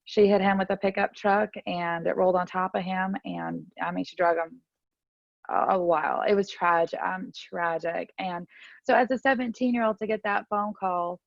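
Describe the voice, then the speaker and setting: A woman talks in a candid, chatty way, full of fillers. Her voice has a gravelly, fry-laden edge. The sound is dry and a bit muffled, with no room behind it. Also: on the low side, steady tone, moderate pace, dry recording. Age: 30s. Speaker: podcast guest. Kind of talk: casual interview storytelling. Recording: dry, slightly muffled audio.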